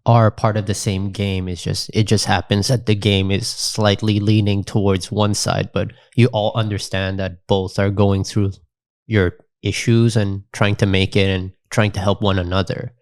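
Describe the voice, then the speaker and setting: A man's voice: fairly low pitch, little pitch movement, moderate pace, no room sound, studio quality. A man hosting a podcast, asking long, winding questions with several restarts. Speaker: podcast host. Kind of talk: casual podcast conversation.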